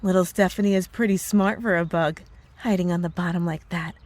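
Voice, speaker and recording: A woman playing a possessive young witch girlfriend in an ASMR roleplay, cooing sweetly with drawn-out words and a menacing undertone. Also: lowish voice, fairly even intonation, darkish tone, dry recording, natural voice. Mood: cooing, gleeful. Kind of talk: ASMR roleplay audio drama.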